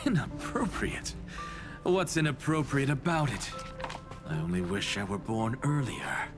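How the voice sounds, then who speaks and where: A man voicing an arrogant, philosophical professor in a video game, with a theatrical and deliberate delivery. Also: mid-range voice, very animated, over music. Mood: amused, indignant. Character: arrogant, philosophical male scholar, blasphemous professor.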